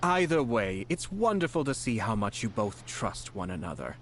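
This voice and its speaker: An actor playing a courteous, composed young nobleman in a video game, speaking in a formal, measured and tactful way. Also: on the low side, sing-song, fairly bright tone. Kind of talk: scripted video game dialogue. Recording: noisy game audio stream capture.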